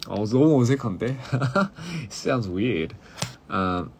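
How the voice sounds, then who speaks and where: A young male musician chatting casually on a phone livestream, halting and searching for words with frequent restarts. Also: medium pitch, big pitch swings, a little mellow, slight background noise. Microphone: phone livestream mic.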